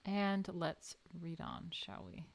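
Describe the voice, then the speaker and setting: A woman's voice: on the low side, big pitch swings, slow. A woman with a New York accent reads an art history book aloud to a live audience and breaks off for wry personal asides. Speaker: host reading an art history book to viewers. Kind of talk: casual livestream reading and commentary.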